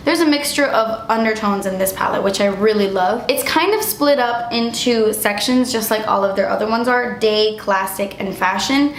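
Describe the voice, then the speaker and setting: Young female vlogger, slightly high voice, effusive and chatty, voice worn from illness; roomy echo. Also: a little hiss or hum. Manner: gushing, chatty, lots of "like". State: losing her voice, getting sick. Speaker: beauty vlogger. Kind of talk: casual product review.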